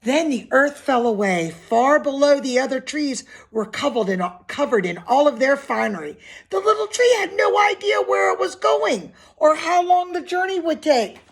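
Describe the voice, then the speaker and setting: A woman's voice: high voice, lively intonation, normal speed. A woman reading a picture book aloud expressively to young children, with simple asides and questions to her listeners.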